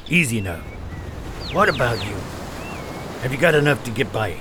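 An actor playing a big, gruffly kind veteran pirate sailor in an audio drama, plain-spoken. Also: male voice, medium pitch, very animated, normal speed, noisy background, lightly acted. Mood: protective.

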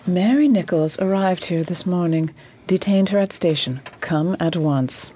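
A shrewd lady detective with a lowish voice, played by a woman in a period radio drama. She is formal, self-assured and unsentimental, speaking in stern commands. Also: lively intonation, unhurried, warm tone, slightly rough. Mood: reading out.

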